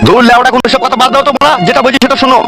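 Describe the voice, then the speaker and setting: A man's voice: very high-pitched, flat delivery, racing, loud music behind the voice. A man voicing a bossy father-in-law who blusters and scolds as head of a household in a comedy cartoon. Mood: exasperated, commanding. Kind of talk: exaggerated cartoon comedy dialogue.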